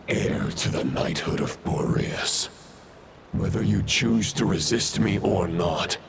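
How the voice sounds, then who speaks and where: Very gravelly, deep warlord villain. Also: male voice, exaggerated voice. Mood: ominous resolve. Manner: solemn, archaic, deliberate. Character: grave corrupted wolf-like warlord, enemy boss of chivalry. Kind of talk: dramatic video game villain acting. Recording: noisy ambience behind the voice.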